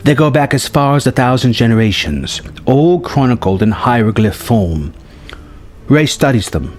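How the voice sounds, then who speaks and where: A man's voice: mid-range voice, lively intonation, normal speed, a little mellow. A man reading a scripted story treatment aloud as narrator. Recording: music behind the voice. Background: background music.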